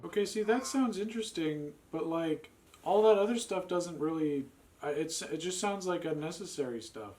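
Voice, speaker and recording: Higher-voiced blunt young man. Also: normal speed, a little mellow, a little hiss or hum. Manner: blunt, profane. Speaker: podcast co-host. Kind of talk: casual group reaction chat.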